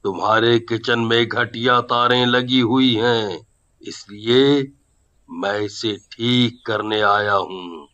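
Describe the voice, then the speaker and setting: A man's voice: medium pitch, fairly even intonation, slow, bright, forceful tone, highs cut off. A man voicing a menacing, bossy ghost electrician in a cartoon horror story, with a commanding delivery. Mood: menacing, insistent.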